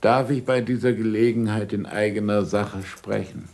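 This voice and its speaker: An actor playing an older, sardonic and imperious scholar in a German radio drama, dictating deliberately with irony and curt commands. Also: male voice, fairly low pitch, fairly even intonation, darkish tone, lightly acted. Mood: dictating, ironic.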